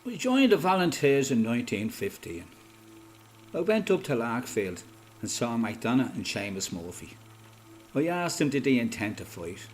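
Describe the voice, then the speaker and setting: A male actor plays an older Irish father from Dublin in a radio drama. He is a plain-spoken veteran who talks like a folksy storyteller, with a medium-pitched, expressive voice that rises and dips freely. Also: moderate pace, faint music behind, lightly acted. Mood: matter-of-fact reminiscing. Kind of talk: radio play acting, conversation and reminiscence.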